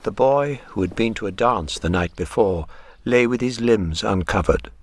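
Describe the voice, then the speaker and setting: An actor with an English accent narrating a literary radio drama as a grave, measured Edwardian storyteller with a husky voice. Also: male voice, lowish voice, slight background noise, close to an everyday voice. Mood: measured, wistful.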